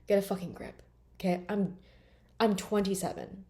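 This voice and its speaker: A woman's voice: mid-range voice, expressive, normal speed, dark tone. A woman in her late twenties talking bluntly and emphatically in a candid personal vlog, swearing freely. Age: 20s. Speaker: vlogger.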